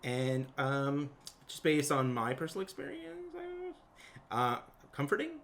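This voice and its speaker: A man, a tabletop player talking casually and haltingly through a character sheet. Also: fairly high pitch, big pitch swings, a crowd in the background. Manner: halting, hesitant. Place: indoors at a home game table.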